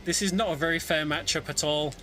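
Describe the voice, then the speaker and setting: A man's voice: a little high, fairly even intonation, brisk, pushed and bright. A man giving chatty, wry live commentary on a speedrun, telling the story of the game as he plays. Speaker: speedrunner commentating own run. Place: home setup, streamed online event. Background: game music.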